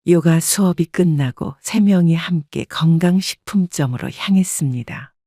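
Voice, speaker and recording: Audio story narrator, composed, medium pitch, dry recording, scripted first-person storytelling. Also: normal speed, dark tone, no room sound.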